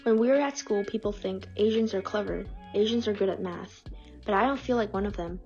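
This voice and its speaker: A woman reads personal accounts aloud in a calm, measured voice. Her pitch sits in the middle, and the recording is dull and lo-fi. Faint music plays underneath. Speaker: narrator reading submitted stories. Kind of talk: scripted reading.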